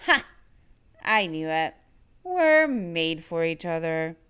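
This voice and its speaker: Casting: playfully ominous storybook monster, done by a woman, hungry and affectionate, growly teasing, animated intonation. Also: low voice, slow, clear, resonant tone, voice pushed down, exaggerated voice. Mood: smug, hungry.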